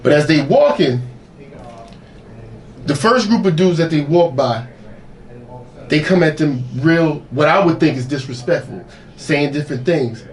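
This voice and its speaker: A man's voice: fairly high pitch, lively intonation, unhurried, long pauses, recorded from a distance, low-quality recording. A man talking in a casual podcast chat, earnest and hedging, often restarting his sentences. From Caribbean family roots. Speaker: guest DJ. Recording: voice a bit off-mic.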